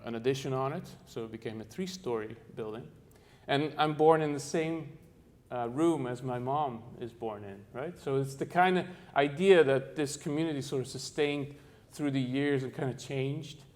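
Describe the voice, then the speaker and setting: This is a middle-aged man from the Netherlands giving a talk on architecture to an audience. His voice sits in an ordinary middle pitch. He halts often, leaning on fillers and restarting his phrases while he searches for the right words. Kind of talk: presentation to an audience.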